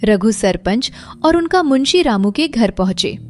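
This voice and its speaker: Slightly high-pitched female storyteller. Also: very animated, a little slow, darkish tone, faint music behind. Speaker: narrator. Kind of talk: scripted story narration.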